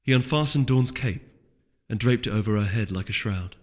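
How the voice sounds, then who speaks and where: A man reading fantasy fiction as a story narrator, in an expressive, suspenseful scripted style. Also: on the low side, moderate pace. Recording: long hall reverb under music.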